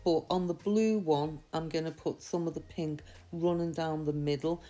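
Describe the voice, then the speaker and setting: A woman with a slightly croaky voice from a mild sore throat, giving a chatty, reassuring, step-by-step tutorial. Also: deep voice, fairly even intonation, moderate pace, dark tone. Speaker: nail art tutorial host. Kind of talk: casual step-by-step tutorial voice-over.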